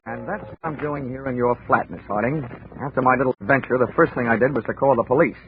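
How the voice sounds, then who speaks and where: An American actor playing a wry, world-weary insurance investigator in a 1940s hard-boiled radio detective drama. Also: male voice, medium pitch, fast talker, close to an everyday voice. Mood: annoyed, sardonic. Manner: wry, clipped, sardonic. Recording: tinny old radio recording, music behind.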